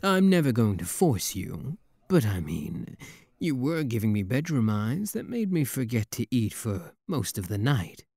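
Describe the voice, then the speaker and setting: A man's voice: mid-range voice, sing-song, a little slow, gravelly, a little mellow, no echo, full character voice. A man acting a suave, teasing demon prince in a romantic roleplay audio drama, with a courtly, flirtatious drawl and playful mock menace. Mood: amused, flirtatious.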